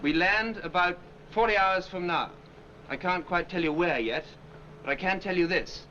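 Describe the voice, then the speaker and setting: An actor playing a brisk, genial British army officer in a 1940s war film, encouraging his men. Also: high voice, pushed and bright. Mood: confident, encouraging. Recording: noisy muffled 1940s film soundtrack.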